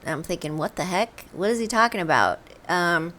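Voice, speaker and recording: Soft-voiced woman co-hosting. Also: on the low side, expressive, fairly quick. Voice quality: soft, slightly breathy voice. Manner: prompting questions, storytelling. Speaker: co-host. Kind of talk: casual interview chat.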